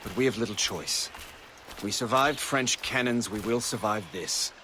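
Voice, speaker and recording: A man playing a dignified colonial army colonel in a video game, formal, measured and authoritative. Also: lowish voice, background noise, natural voice. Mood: measured, grave. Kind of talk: video game drama acting.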